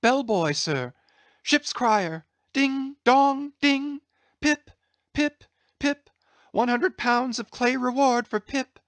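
A woman's voice: high voice, slow pace, no echo. A woman voicing a pitiful, crazed young cabin boy in a dramatised sea story, with singsong, wandering speech. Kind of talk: dramatised classic sea novel reading.

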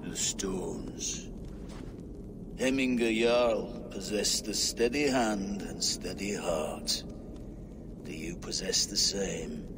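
An actor playing a grave, stern old blind hermit in a video game, speaking in a solemn, cryptic, deliberate way. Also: male voice, deep voice, heavy background noise. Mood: solemn, probing. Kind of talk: dramatic video game acting. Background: music.

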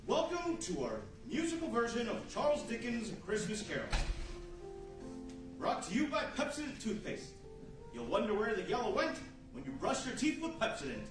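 A theatrical 1940s radio storyteller, acted by a man addresses the room with wry flair. His voice is high and animated. It is very echoey and far from the mic. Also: moderate pace, over music, heavily acted. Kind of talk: 1940s radio narration on stage. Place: large indoor meeting room with seated audience. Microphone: far camera.

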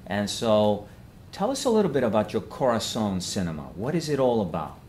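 A man speaks as the host of a local interview, in a conversational style. His pitch is on the low side. The recording is indoors, with ambient noise behind him. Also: big pitch swings, fairly quick, a little mellow. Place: inside a cafe theater.

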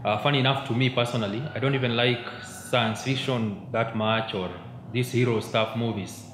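A man's voice: medium pitch, fairly even intonation, moderate pace. Young Kenyan man preaching in an echoey hall. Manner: mixes in Swahili, keeps checking in with "yeah?". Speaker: youth preacher. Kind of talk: conversational sermon. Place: church hall, at a pulpit. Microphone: handheld mic held close. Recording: echoey church room, faint background hum.

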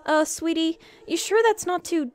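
Woman playing a sweet high-voiced cartoon girlfriend. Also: normal speed. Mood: gentle, concerned. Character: sweet, patient young woman, caring girlfriend. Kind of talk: cartoon character acting.

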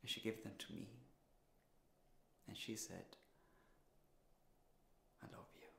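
An actor playing a despairing, insecure man in his thirties in a confessional, halting dramatic monologue. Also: lowish voice, fairly even intonation, vocal fry, a bit bright, a little hiss or hum, heavily acted. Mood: wistful, hushed. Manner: confessional, halting, deliberate pauses. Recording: roomy, echoing sound.

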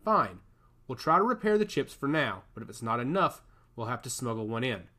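A tired cyborg soldier with a dry, sardonic edge, voiced by a male narrator close to his own voice. His lines swing up and down in pitch, wry rather than loud. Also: sing-song, brisk. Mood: resigned. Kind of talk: audiobook character voice.

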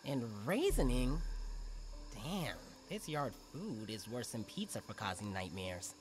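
Slow, wry, slangy game teen. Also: male voice, mid-range voice, big pitch swings, slight background noise. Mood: grumbling. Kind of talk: video game character chatter. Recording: chirping insect ambience. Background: crickets and insects.

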